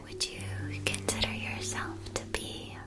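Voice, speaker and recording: A woman whispering an ASMR roleplay as a polite, attentive witch-school shopkeeper, explaining in measured, deliberate phrases. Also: lightly acted.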